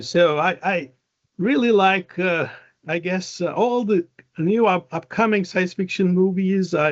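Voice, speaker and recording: A man's voice: high voice, muffled recording. A man in his fifties with a Turkish accent, a professor chatting as an interview guest, rambling with many fillers and restarts. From Turkey. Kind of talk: casual interview conversation.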